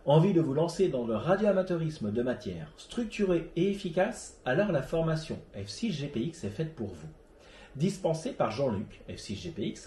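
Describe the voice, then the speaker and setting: A man presents a hobby web show from a script. His voice is on the high side and soft-edged, with plenty of rise and fall in the intonation. He reads in the manner of a host introducing segments. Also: reverberant, slight background noise. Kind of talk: scripted presentation, read narration.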